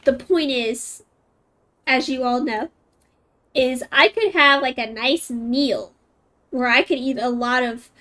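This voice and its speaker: A woman's voice: high voice, takes it slowly, pauses often, bright, forceful tone. A woman chatting casually and informally in a rambling way while she plays a game. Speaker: game streamer. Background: game music.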